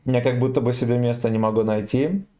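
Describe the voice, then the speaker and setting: A man's voice: mid-range voice, steady tone, fast, mellow. A young man streaming a tank game, joking with chat and swearing freely. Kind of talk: casual game stream chatter.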